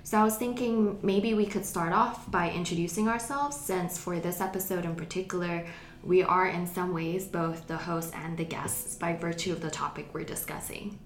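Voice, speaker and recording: A young woman from Hong Kong hosting a podcast, reading in a measured, careful way. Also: lowish voice. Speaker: podcast host. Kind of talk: scripted podcast narration. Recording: echoey room with faint noise.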